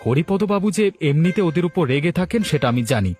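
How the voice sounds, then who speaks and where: A man's voice: on the high side, sing-song, fast talker, darkish tone, soft background music. A man voicing a concerned, indignant village husband in a moral cartoon, speaking emphatically and exclaiming. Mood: indignant, determined. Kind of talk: Bengali moral cartoon dialogue.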